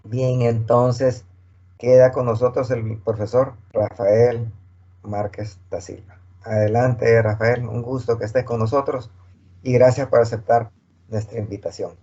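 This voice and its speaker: Mellow-voiced man hosting a webinar. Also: medium pitch, little pitch movement, a little slow. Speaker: moderator. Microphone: video call. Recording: dull video call audio.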